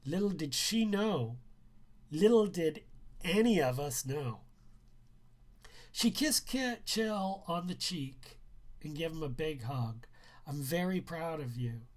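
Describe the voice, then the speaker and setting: A man reading a first-person story aloud as its author, in a measured, expressive storytelling way. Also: fairly high pitch. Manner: measured, expressive, acts out dialogue. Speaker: author reading own book. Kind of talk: narrated live book reading, first-person teen story.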